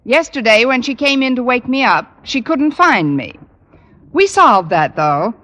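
A woman playing a wry, put-upon high school English teacher in old-time radio comedy, with a dry, sardonic delivery. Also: animated, measured pace, fairly bright tone. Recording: old radio recording in reverberant hall.

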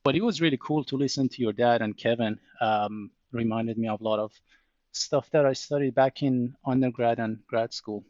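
A man, a podcast guest, explaining his work in a measured way with frequent pauses. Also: medium pitch, darkish tone, no room sound, lo-fi sound. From Iran. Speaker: guest, oil trading commercial operator. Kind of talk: casual interview answers.

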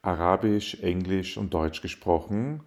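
A man's voice: deep voice, a little slow, darkish tone. A young man, answering interview questions in a thoughtful, hesitant way. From Austria. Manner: thoughtful, hesitant with fillers and restarts. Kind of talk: casual interview.

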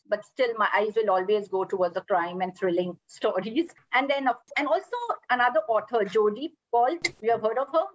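A woman's voice: on the high side, highs cut off. A woman chatting on a podcast, hesitant, often repeating herself and saying "you know". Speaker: podcast co-host. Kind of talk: casual podcast chat.

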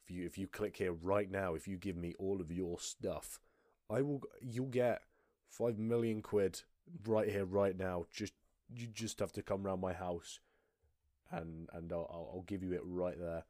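A British man voicing a pushy male scam advertiser in a loud, hard-sell pitch. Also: fairly even intonation, measured pace, dry recording, studio quality.

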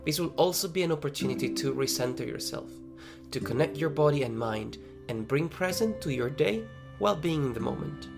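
A man narrating a guided running meditation as a coach, giving gentle instructions, with plucked guitar behind him. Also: a little high, expressive, fairly quick, vocal fry. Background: plucked guitar music.

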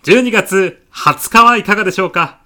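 A man's voice: high voice, fairly quick, bright, forceful tone. A man playing a polite, chatty AI concierge on a customer support line in a comedy audio drama. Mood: courteous, explaining. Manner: courteous, smooth-talking, over-familiar.

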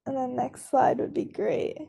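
A woman's voice: high-pitched, expressive, a little slow, gravelly, warm tone, husky, highs cut off. A woman host chattily introducing a guest speaker on a video call. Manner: chatty, filler-heavy. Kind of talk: online introduction.

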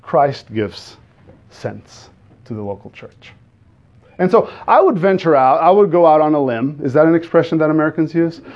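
Guest preacher, man with a Portuguese accent, slightly raised pitch, dull lo-fi sound, stumbling earnestness. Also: lively intonation, a little mellow. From Azores, Portugal. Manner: earnest, halting delivery with frequent restarts, asks the congregation questions. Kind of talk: sermon.

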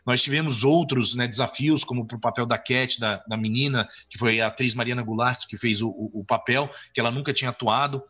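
A man's voice: medium pitch, fairly even intonation, a little fast, hardly any pauses, pushed and bright, breathy edge. A man from Brazil, a film director and author, talking in an interview and rambling with frequent restarts and fillers. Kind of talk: interview conversation. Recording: dull lo-fi audio.

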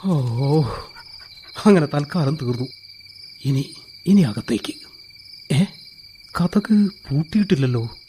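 A man's voice: fairly high pitch, lively intonation, slow, pauses often, dark tone, slight background noise. An actor playing a nervous burglar in a radio play, muttering to himself as he sneaks through a house, then pleading when caught.

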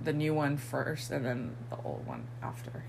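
A woman's voice: very deep voice, normal speed, vocal fry, breathy edge, rough recording. A woman co-hosting a podcast in casual chat, a little congested, who over-explains and tells stories with energy. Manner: over-explaining, animated storyteller. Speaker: podcast co-host.

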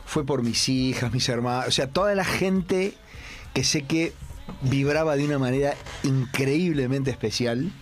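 A man on a casual panel chat, telling a story in a reflective, measured way. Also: mid-range voice, faint music behind. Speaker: TV presenter guest.

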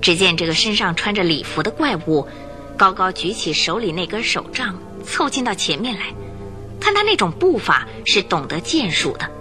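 A woman's voice: medium pitch, very animated, moderate pace, bright, forceful tone, soft background music. A woman narrator telling a radio drama story from a script in a vivid, suspenseful, dramatic way.

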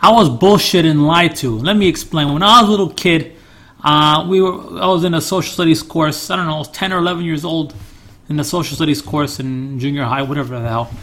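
A man's voice: a little high, a little fast, fairly bright tone. Chatty man in his forties. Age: 40s. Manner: rambling, teasing, corrects her English. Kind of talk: casual chat.

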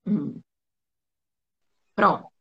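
A woman with a Brazilian Portuguese accent hosting a casual live interview, chatting informally and addressing her viewers directly. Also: very slow. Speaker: host. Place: remote video call. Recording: slightly dull video-call audio.

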